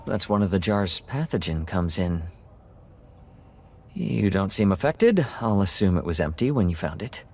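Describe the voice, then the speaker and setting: An actor playing a curious, rambling scientist in a video game, explaining things in a hesitant, musing way. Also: male voice, deep voice, animated, normal speed, darkish tone, a little hiss or hum. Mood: explanatory. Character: male scientist doctor, curious, rambling, awed by alien technology. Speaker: scientist briefing a military team.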